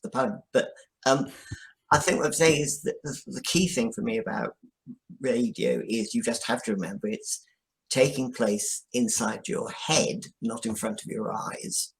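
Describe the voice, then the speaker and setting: A man giving reflective interview answers as a guest, explaining things in a thoughtful, halting way. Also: mid-range voice, gravelly, rough recording. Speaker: guest, drama writer.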